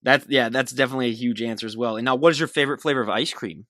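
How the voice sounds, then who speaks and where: An upbeat man hosts a podcast, rattling off questions at a brisk clip in a bright, forceful voice. The sound is dry and close, with no room echo. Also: medium pitch. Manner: upbeat, quick, frequent affirming interjections. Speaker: podcast host. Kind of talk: rapid-fire interview questions.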